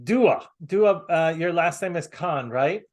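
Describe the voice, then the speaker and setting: A man from the United States hosting a casual video call, talking haltingly with many fillers and restarts. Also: a little high, animated, darkish tone. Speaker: host.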